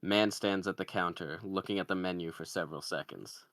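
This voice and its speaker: A man's voice: sits low, fairly quick, fairly bright tone, no echo. A man narrating the stage directions of a comedy screenplay in a scripted read.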